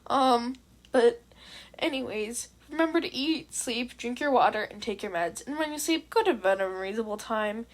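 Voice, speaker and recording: High-voiced woman reading a story. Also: lively intonation, normal speed. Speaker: amateur fan fiction audiobook reader. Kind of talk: scripted story narration with casual asides.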